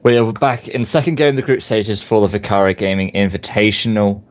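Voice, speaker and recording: A young man with a hoarse, strained voice, casting an online game match in a rambling, filler-heavy way. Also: fairly low pitch, a little fast, dull audio. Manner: rambling, many fillers and restarts. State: losing his voice. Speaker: esports caster. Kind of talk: casual game commentary.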